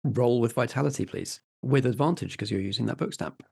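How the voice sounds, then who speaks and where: A man's voice: mid-range voice, a little fast, gravelly, a little mellow, breathy edge. A man narrating scenes and giving rulings as the game master of a tabletop role-playing game.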